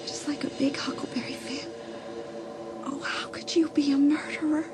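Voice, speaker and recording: A woman's voice: sits high, level tone, moderate pace, loud music behind the voice, lightly acted. A young woman playing an anxious, frightened teenage girl who keeps a secret, in a dramatised audiobook. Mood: anxious, brooding. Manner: breathy, often dropping to urgent whispers. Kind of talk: dramatised audiobook acting with inner monologue.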